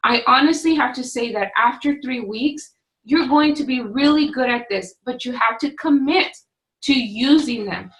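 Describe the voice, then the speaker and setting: A woman in her forties, a fitness coach talking straight to her webcam from a small home office, firm and no-nonsense, her voice slightly hoarse. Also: high-pitched, normal speed, fairly bright tone, low-quality recording. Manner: firm, no-excuses, pep-talk delivery. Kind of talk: direct-to-camera coaching talk. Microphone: webcam at desk distance.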